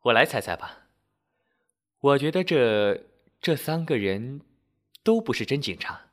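A man puts on a shrewd female innkeeper in a told story. She banters slyly and confidently, her intonation lively and mobile. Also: medium pitch, unhurried. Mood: sly, teasing. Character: shrewd, teasing female tavern landlady.

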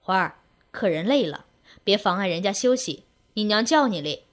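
A woman's voice: medium pitch, animated, normal speed, close to an everyday voice. A woman voicing a weary village father of fifty, coaxing and apologetic, in a story reading. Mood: coaxing, firm. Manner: coaxing, hushed, sighing.

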